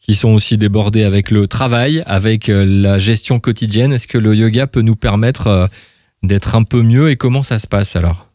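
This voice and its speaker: Male radio interviewer, conversational, halting with repeated words, very dry sound. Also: fairly low pitch, warm tone, no echo. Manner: hesitant, repeats and restarts his words. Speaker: radio host. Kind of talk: casual live radio interview.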